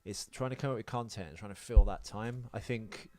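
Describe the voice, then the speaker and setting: A man's voice: on the low side, a little fast, a little mellow, no room sound. A man co-hosting a casual podcast, chatting off the cuff in a sweary, self-deprecating, rambling way. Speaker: podcast co-host. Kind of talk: casual unscripted podcast banter.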